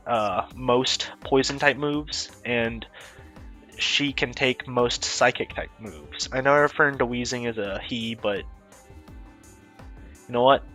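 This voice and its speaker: A man's voice: mid-range voice, a bit bright. A young man with a head cold, sounding stuffy, giving rambling, offhand casual commentary on his game team with self-mocking asides. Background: faint music.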